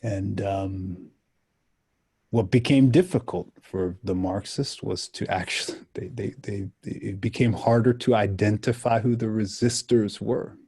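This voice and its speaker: A man from Ethiopia speaking as a panelist in a reflective, deliberate and halting way, with emphatic storytelling. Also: lowish voice, mellow, husky, dry recording, clean studio sound.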